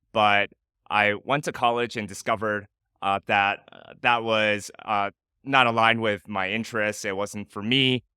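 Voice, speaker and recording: A man's voice: lowish voice, sharp tone, clear, resonant tone, no room sound, studio quality. A man, a guest answering interview questions thoughtfully, with frequent "uh" fillers. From Michigan, United States. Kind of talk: casual interview conversation.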